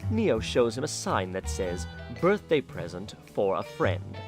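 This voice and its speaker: A man narrates a fan audio drama with an animated, rising-and-falling pitch. Music plays clearly beneath him, with instruments. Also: measured pace. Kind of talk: story narration.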